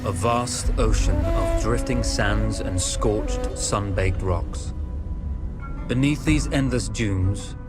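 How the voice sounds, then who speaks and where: A man narrating a game's war story in a grave, measured storyteller's manner. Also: lowish voice. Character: solemn male storyteller introducing a wartime desert tale. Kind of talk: scripted documentary-like narration. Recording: loud music under the voice. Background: loud music.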